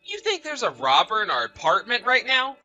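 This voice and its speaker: A man's voice: high voice, huge pitch swings, fairly quick, sharp tone, dull audio, soft background music, full character voice. A man playing a betrayed husband in an acted audio drama, bitter, sarcastic and accusatory. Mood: suspicious, sarcastic. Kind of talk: acted audio story dialogue and narration.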